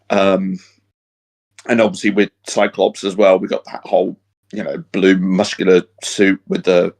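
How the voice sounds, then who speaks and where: A man's voice: lowish voice, creaky. A man taking part as a panelist in a casual geeky chat.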